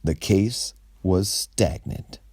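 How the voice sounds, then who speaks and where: A man reading a children's book aloud as a storyteller in a small bookshop, in a slow, expressive way. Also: low voice, music in the background.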